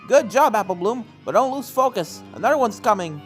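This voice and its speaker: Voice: mid-range voice, animated, fairly quick, voice pushed up, natural voice. A performer voicing an encouraging old cartoon stallion, a priest and ghost-hunting mentor, hearty and instructive. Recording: music behind the voice.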